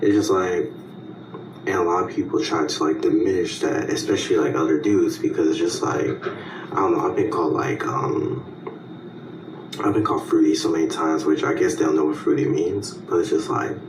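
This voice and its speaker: A man talking to camera in a casual, halting way, with many "like"s. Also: low voice, fairly even intonation, slight background noise. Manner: halting, fillers like "like". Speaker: video clip speaker. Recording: roomy clip from a distance.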